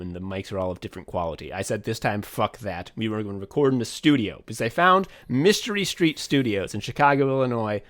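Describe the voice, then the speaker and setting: A man co-hosting a podcast tells long, rambling stories in casual chat. He swears freely, restarts his sentences and gets animated as he goes. His voice sits at a middle pitch for a man. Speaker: podcast co-host. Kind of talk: casual behind-the-scenes chat.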